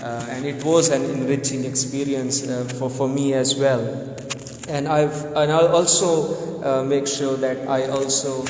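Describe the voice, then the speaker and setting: A man from Pakistan who teaches English speaks earnestly and hesitantly, filling pauses with "uh" and "like." His voice stays flat and even, and it echoes through a reverberant room over a faint background hiss. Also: mid-range voice. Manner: earnest, hesitant, frequent "uh" and "like" fillers. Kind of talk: live video discussion.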